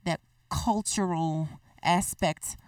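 A man from Baltimore County with a Baltimore accent, co-hosting a casual podcast chat in a measured, thoughtful way. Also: on the high side, fairly even intonation, smooth, no echo. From Baltimore County, USA. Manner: measured, thoughtful, with frequent restarts. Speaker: podcast co-host. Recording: distant mic in a dry room.

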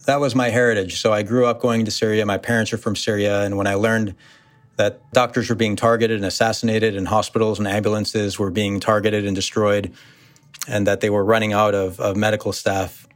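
An American man, a surgeon, recalling his work in a thoughtful, halting way with fillers. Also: on the low side, flat delivery. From United States. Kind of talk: interview recollection.

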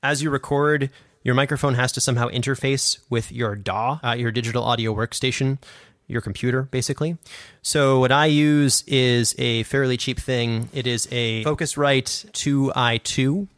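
A man's voice: mid-range voice, fairly even intonation, normal speed, fairly bright tone, dry recording. A man hosting a podcast, explaining things in a casual, enthusiastic, self-deprecating way, close on a studio microphone. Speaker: podcast host and audio drama producer. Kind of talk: casual instructional podcast chat. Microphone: condenser studio microphone.

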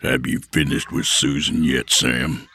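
A man voicing a gruff, domineering middle-aged bully who talks slow, low and threatening. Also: steady tone, pushed and bright, heavily acted. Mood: menacing.

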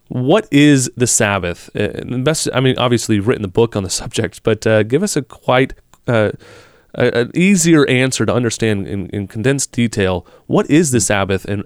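A male podcast host speaks in a relaxed, conversational way and thinks aloud as he frames his questions. His voice is at a middle pitch and the recording is close and dry, with no room sound. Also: normal speed, clean tone, dry recording. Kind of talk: interview on faith.

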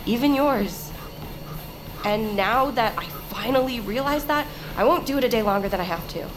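An actress playing a dutiful, restrained executive assistant with a composed, guarded, polite manner in a sci-fi thriller audio drama. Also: female voice, a little high, moderate pace, over music, close to an everyday voice, rough recording. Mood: tense resolve.

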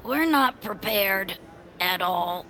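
A woman's voice: mid-range voice, animated, slow, bright, forceful tone, full character voice. A woman voicing a sweet, wide-eyed, candid creature, everyone's best friend, in an audio drama. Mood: touched, candid.

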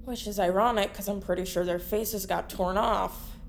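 A woman's voice: medium pitch, steady tone, moderate pace, vocal fry, slight background noise, close to an everyday voice. Sardonic tough young woman, voiced by an actress. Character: sardonic, foul-mouthed young woman, tough monster killer. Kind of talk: audio drama acting.

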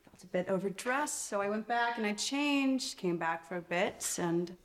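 Guarded woman designer played by an actress, thriller film, mid pitch, hesitant, stiffly polite. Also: moderate pace, natural voice. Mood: evasive, hesitant.

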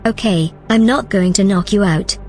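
A woman's voice: mid-range voice, darkish tone, faint music behind, close to an everyday voice. Composed female AI, played by an actress. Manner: composed, measured, formal. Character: calm, principled female artificial intelligence. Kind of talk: audio drama acting.

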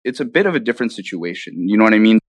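A man's voice: on the low side, big pitch swings, very fast, no echo. A young man answering interview questions casually and enthusiastically, often checking in with "right?" and "you know". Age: young adult. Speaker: interview guest, tech startup founder.

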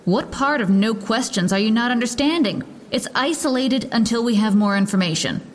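An actress with an American accent playing a stern, impatient police lieutenant, speaking formally and with authority as in an audio drama press briefing. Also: female voice, on the high side, lively intonation, moderate pace, close to an everyday voice. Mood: exasperated.

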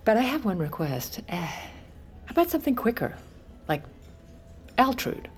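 An actress playing a witty, exasperated widow with a dry, sardonic manner in comedic audio fiction. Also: female voice, on the high side, very animated, measured pace. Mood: wry, curious.

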